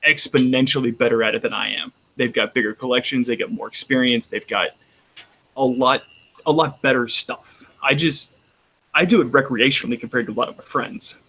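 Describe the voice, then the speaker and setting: A man's voice: medium pitch, fairly even intonation, a little fast, bright, forceful tone, husky, poor audio. A man with a Texan accent chatting casually on a roundtable, rambling with frequent restarts. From Texas. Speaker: roundtable panelist. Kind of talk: casual chat.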